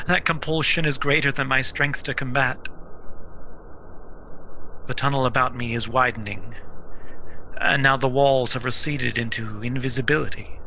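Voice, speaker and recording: A dead vagrant speaking from the beyond in a slow, solemn trance. The voice is gravelly and tense, halting between phrases with deep gloom. It is old-time radio horror, with some noise on the recording. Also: male voice, bright, forceful tone. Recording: noisy live stage recording.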